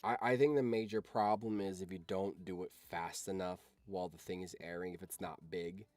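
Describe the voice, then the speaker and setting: A man's voice: deep voice, fairly even intonation, brisk, darkish tone, dry recording. A man chatting casually on a livestream as a video editor, rambling along and swearing freely.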